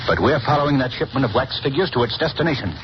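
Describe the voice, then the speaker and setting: A man playing a composed, suave gentleman detective in a 1930s radio drama. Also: medium pitch, animated, fast, dull audio, loud music behind the voice, natural voice. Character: cool, confident gentleman detective. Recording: scratchy 1930s radio broadcast recording.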